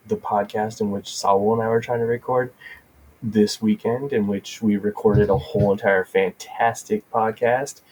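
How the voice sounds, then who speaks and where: A man's voice: lowish voice, darkish tone, husky, rough recording. A man co-hosting a podcast in casual chat, rambling with frequent restarts and laughter. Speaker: podcast co-host.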